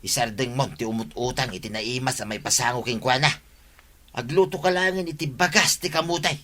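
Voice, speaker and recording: Actor as a bright-voiced, grumbling Ilocano husband. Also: male voice, mid-range voice, a little fast, close to an everyday voice. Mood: annoyed. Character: grumbling, put-upon village husband and uncle. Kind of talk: Ilocano radio comedy drama acting.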